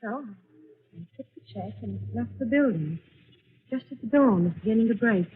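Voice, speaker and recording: A woman's voice: fairly high pitch, animated, normal speed, breathy edge. An actress playing a polite, hesitant young woman working as an office clerk, in old-time American radio drama style. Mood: uneasy. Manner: deferential, hesitant. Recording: muffled, tinny old radio recording.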